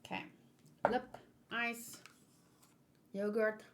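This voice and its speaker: A woman with a fairly high voice talks casually as a streamer. She is playful and expressive, moving between loose chat and reading aloud with her own commentary. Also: very slow. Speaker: streamer hosting a manga read-along.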